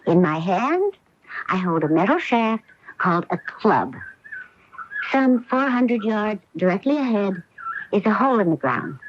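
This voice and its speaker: An actress playing a sweet, self-assured and determined young wife in a radio mystery drama. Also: female voice, medium pitch, very animated, unhurried, slight background noise. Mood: breezily confident. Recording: dull lo-fi AM radio broadcast.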